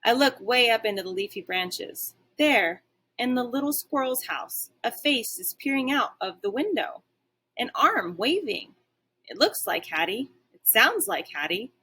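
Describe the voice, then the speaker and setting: A woman reading a children's story aloud like a teacher, with expressive narration. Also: on the high side, measured pace, husky.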